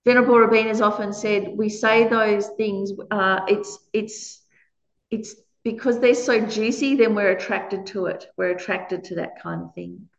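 A loud-voiced woman teaching Buddhist ethics, frank and quick to laugh between her points. She talks to a gathered audience in a roomy space, and the recording sounds a little dull and muffled. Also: mid-range voice, fairly even intonation, vocal fry. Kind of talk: dharma talk answering questions.

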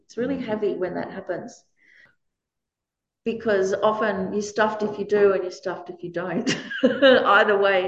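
Aussie woman giving a dharma talk, big loud voice, laughs a lot, roomy echoey room, kinda dull sound. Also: medium pitch. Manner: frank, laughs often between points. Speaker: Buddhist teacher. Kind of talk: dharma talk answering questions. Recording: roomy, slightly dull recording.

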